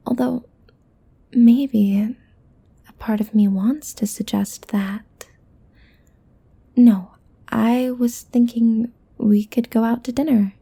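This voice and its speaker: Succubus demon played by a woman: seductive, possessive, teasing, husky breath, higher-pitched, ASMR roleplay intimacy. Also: dark tone, exaggerated voice. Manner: breathy, often whispered, teasing delivery.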